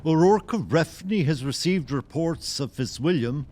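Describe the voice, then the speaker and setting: An actor playing a grave, disapproving chronicler of Gaelic clans, narrating an audio play in a solemn, declamatory way. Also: male voice, a little high, lively intonation, moderate pace, darkish tone, natural voice.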